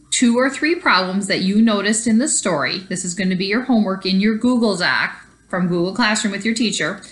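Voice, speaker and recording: A woman's voice: mid-range voice, fairly bright tone. A woman teacher reading a children's story aloud expressively and explaining it to young pupils. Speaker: elementary school teacher.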